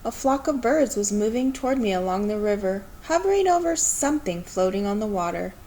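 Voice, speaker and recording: A woman reading a children's story aloud in an expressive, measured storytelling manner. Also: mid-range voice, a little hiss or hum, rough recording. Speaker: storybook reader.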